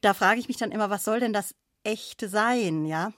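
A woman's voice: mid-range voice, moderate pace, fairly bright tone, dry recording. Questioning woman in a dry studio. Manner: questioning, opinionated, frequent "ja?". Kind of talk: discussion talk.

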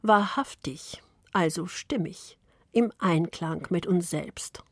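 A woman from Poland, Polish native, narrating with a Polish accent in a soft, slow, intimate read. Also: on the low side, slightly rough, dry recording, studio quality. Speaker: radio author and narrator. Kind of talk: scripted reflective narration.

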